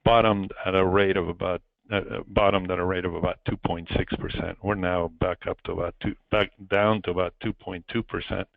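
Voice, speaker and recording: A man giving an explanatory financial presentation as an investment chair, speaking haltingly and repeating phrases over a conference call phone line. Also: low voice, fairly even intonation, gravelly, no room sound. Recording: muffled narrowband phone line.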